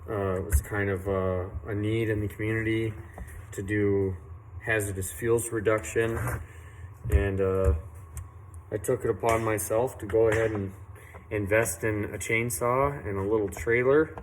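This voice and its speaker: A young man from western Illinois who owns a tree service tells how he started, in an unhurried, earnest way with many fillers and restarts. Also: fairly low pitch, fairly even intonation, slight background noise. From western Illinois, USA. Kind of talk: casual personal story to camera. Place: outdoors at a work site, doing saw maintenance.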